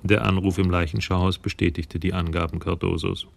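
Deep, gravelly male crime narrator. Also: flat delivery, brisk, no room sound. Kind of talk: scripted narration of a crime radio play.

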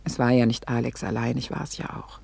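An actress playing a reflective, vain middle-aged wife in a radio play, hesitant and confiding, with dramatic pauses and breathy near-whispers. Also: female voice, a bass voice, steady tone, dark tone, exaggerated voice. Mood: wistful, reflective. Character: reflective, vain, self-reproaching middle-aged wife confessing her memories. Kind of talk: intimate German radio play monologue.

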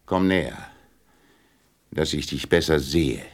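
A man's voice: extremely low pitch, slow, exaggerated voice. A man playing a proud, commanding king in a radio play, speaking in a pompous, regal manner. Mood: imperious.

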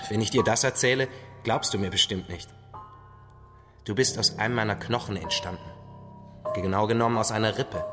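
An actor playing a patient, solemn father-like survivor who tells stories gently and with measured phrasing in a radio drama. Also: lowish voice, music in the background, natural voice. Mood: reflective. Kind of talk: radio drama acting, storytelling.

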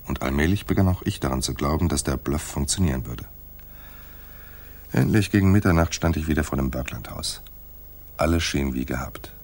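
Very deep-voiced, wry private eye. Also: male voice. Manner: dry, sardonic, laconic. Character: wry hard-boiled male private detective, narrator and flirt. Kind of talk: German radio play acting, noir narration. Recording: noisy 1980s radio play recording.

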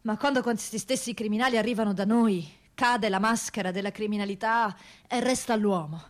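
A woman's voice: medium pitch, a little fast, natural voice. A woman playing an earnest prison police officer in their thirties with wounded pride, confiding plainly in a theatrical radio monologue. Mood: earnest, aggrieved. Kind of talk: theatrical first-person monologue.

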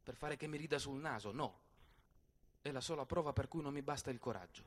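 A man's voice: medium pitch, brisk, lightly acted. An actor playing a proud, self-mocking, lovelorn poet swordsman in a theatrical radio play, with a dramatic delivery. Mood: anguished, self-mocking. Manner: dramatic, swinging between muttered asides and loud declamation. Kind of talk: theatrical radio play monologue with guitar accompaniment. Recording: guitar music behind the voice. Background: plucked guitar music.

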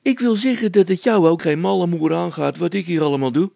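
A man's voice: high voice, brisk, gravelly, mellow, no room sound, voice pushed up. A man voicing a cocky young poacher boy in a story, cheeky, stubborn and boastful, with colloquial speech. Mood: defiant.

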